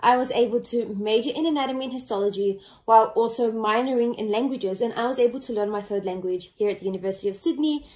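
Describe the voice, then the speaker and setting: A woman's voice: on the high side, quick pace, few pauses, a little mellow. A woman in her twenties, a university student speaking enthusiastically about her studies into a webcam. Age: 20s.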